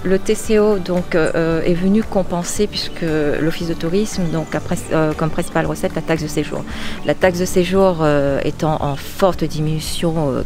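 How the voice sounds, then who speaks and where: A woman explaining in an interview as a tourism office representative, halting and correcting herself. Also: lowish voice, darkish tone. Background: music.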